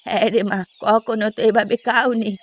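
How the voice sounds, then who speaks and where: A woman's voice: fairly high pitch, little pitch movement, moderate pace, breathy edge. A woman voicing an affectionate but aggrieved older village mother in a cartoon fairy tale. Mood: warm, explaining. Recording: music under the voice.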